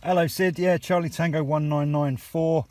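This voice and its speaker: A man's voice: fairly high pitch, steady tone, normal speed. A British man chatting casually outdoors as a vlogger and portable radio operator. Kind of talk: casual outdoor vlog talk and radio chat. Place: outdoors on a sunny hilltop. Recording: outdoor recording with clear background noise.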